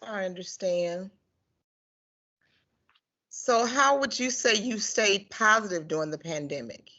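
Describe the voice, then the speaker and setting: A woman's voice: mid-range voice, slow pace, bright, forceful tone. A woman working as a care ombudsman, asking interview questions in a friendly, casual way. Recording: dull lo-fi recording.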